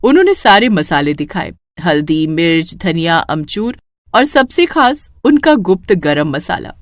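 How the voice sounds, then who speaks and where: A woman narrating a scripted moral story in an expressive storytelling style. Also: fairly low pitch, unhurried, dry recording, studio quality.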